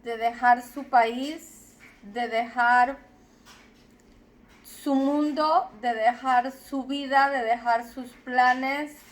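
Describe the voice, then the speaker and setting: A young adult woman from Zacatecas with a Mexican accent, slowly telling her personal story in a reflective, deliberate way. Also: high voice, steady tone. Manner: slow, deliberate, reflective with repetitions.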